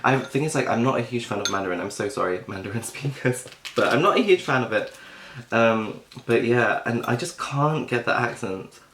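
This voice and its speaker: A young British man chatting casually and self-deprecatingly to camera, clearing his throat now and then. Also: mid-range voice, expressive, normal speed, few pauses, fairly bright tone, roomy sound, slight background noise. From Britain. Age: young adult. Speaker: vlogger. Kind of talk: casual mukbang chat.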